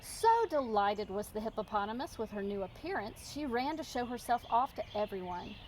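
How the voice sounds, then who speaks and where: A woman narrating a children's storybook expressively, with measured, emphatic storytelling. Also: fairly high pitch, very animated, darkish tone, slight background noise, poor audio. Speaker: storybook reader.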